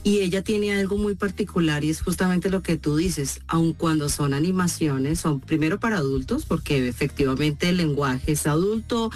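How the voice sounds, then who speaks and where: Animated Colombian woman with faint music behind. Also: deep voice, normal speed, hardly any pauses. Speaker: voice actress guest. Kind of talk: interview answers.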